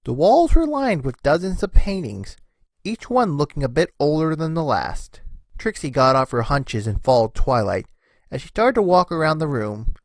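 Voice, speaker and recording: A man's voice: fairly high pitch, sing-song, moderate pace, no room sound. A man narrating a scripted story expressively, as the narrator of a fan fiction reading.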